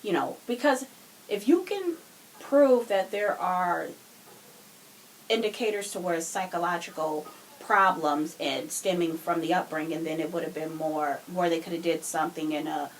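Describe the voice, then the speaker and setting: A woman's voice: fairly low pitch, normal speed. A woman in a casual podcast discussion, reasoning things out in wordy, somewhat formal phrases. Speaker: podcast co-speaker. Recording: noisy room ambience.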